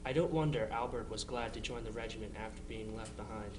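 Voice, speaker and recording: A man reading haltingly as an earnest, opinionated brother writing to his soldier brother in a period dramatization. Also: on the low side, fairly even intonation, lightly acted. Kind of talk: dramatic reading of Civil War letters. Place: live historical society presentation. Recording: noisy live recording with hum.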